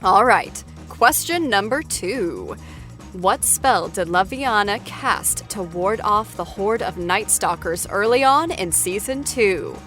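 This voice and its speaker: Bright-voiced woman hosting a game show. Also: medium pitch, expressive, takes it slowly, soft background music. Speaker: show host.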